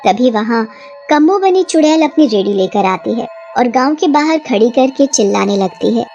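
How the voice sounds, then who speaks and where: A woman narrates a scripted bedtime horror story. Her voice is high, and music plays faintly underneath. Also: expressive, moderate pace, mellow, dull audio.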